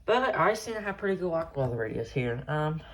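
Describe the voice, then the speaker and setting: A man's voice: fairly high pitch, big pitch swings, quick pace, a bit bright. A man giving a casual, unscripted show-and-tell of his collection, rambling offhand and trailing off. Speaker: collector showing off a weather radio collection. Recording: noisy amateur recording with radios.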